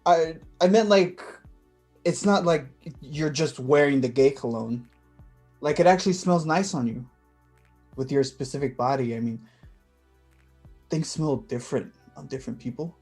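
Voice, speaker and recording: Man acting awkward, soft-toned, nervous young guy. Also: a little high, faint music behind, natural voice. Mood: embarrassed. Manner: halting, fumbling, self-conscious. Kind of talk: staged play reading.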